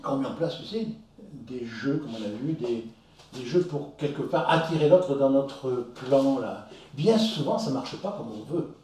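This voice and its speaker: A man calmly explaining feelings step by step, leading a conversational discussion, recorded with a distant mic in a very echoey room. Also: mid-range voice. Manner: measured, explains step by step with examples. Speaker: discussion leader on psychology.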